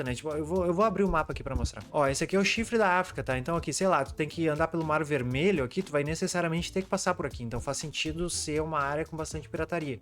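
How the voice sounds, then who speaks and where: A man's voice: fairly high pitch, fairly bright tone. A man, chatting casually as a video host while walking through maps, tossing in constant "né" and "cara". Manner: chatty, fast, peppered with "né" and "cara". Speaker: YouTube host. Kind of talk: casual commentary over maps.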